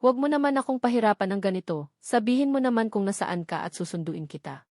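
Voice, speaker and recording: A woman's voice: mid-range voice, lively intonation, fast talker, darkish tone, dry recording, studio quality, lightly acted. A woman voicing a heartsick husband who pleads with his wife in a novel. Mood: pleading.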